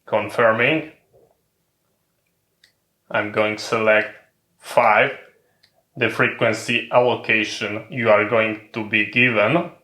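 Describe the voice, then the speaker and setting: Slow, bright-voiced man giving step-by-step instructions. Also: lowish voice, level tone, roomy sound. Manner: methodical, repetitive phrasing in short separated steps. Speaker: tech channel presenter giving a tutorial. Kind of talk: step-by-step instructional narration of a hardware demonstration.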